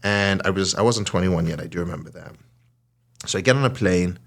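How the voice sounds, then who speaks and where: A man with a British accent and a very low voice tells his story in a relaxed conversational podcast. He is animated and drops into quoted lines from other people. He sounds like he is in his early forties. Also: a little fast, creaky. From South Africa. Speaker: hotel and casino CEO. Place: small podcast studio.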